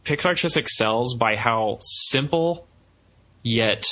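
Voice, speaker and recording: Bright-voiced young man chatting casually. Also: medium pitch, slow pace. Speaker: podcast co-host, animation student. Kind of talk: casual film review chat.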